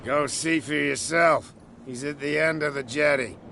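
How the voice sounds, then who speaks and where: A man voicing a gruff, suspicious island drunkard in a video game, grumbling about outsiders. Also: a little high, steady tone, unhurried, bright, forceful tone, slight background noise, heavily acted. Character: gruff, idle male island drunk, protective of his saint.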